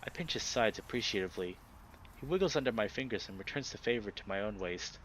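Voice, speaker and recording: A man's voice: fairly low pitch, fast, a little hiss or hum, poor audio. A man hosting a let's play, reading a visual novel aloud and commenting casually and expressively. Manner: expressive reactions, swears casually.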